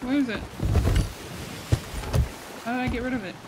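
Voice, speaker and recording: High-pitched woman chatting while gaming. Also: fairly even intonation, normal speed. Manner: exclamatory, reactive. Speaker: co-op gaming teammate. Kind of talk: casual gameplay chat. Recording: loud game noise behind voice.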